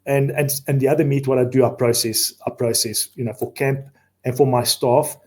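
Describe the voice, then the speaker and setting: A South African man in his fifties speaks with a warm, mellow voice at a middle pitch. He talks earnestly in a relaxed podcast conversation, often repeating a word as he gathers his thoughts. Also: normal speed. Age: 50s. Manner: earnest, repeats words while explaining. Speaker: safari outfitter guest.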